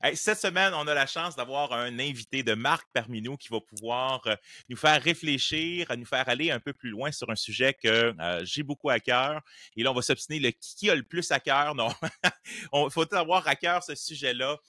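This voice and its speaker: A man's voice: mid-range voice, normal speed, bright, forceful tone, no room sound, studio quality. Jokey man hosting a podcast. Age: middle-aged. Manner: jokey, laughs often. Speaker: podcast host. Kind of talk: casual interview chat.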